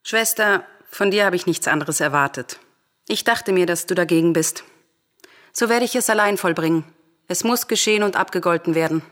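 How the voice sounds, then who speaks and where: An actress playing a proud, defiant, grieving Greek princess in a solemn radio drama, speaking in formal, declamatory verse. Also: female voice, fairly low pitch, normal speed, fairly bright tone, no room sound, studio quality, close to an everyday voice. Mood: defiant, resolute.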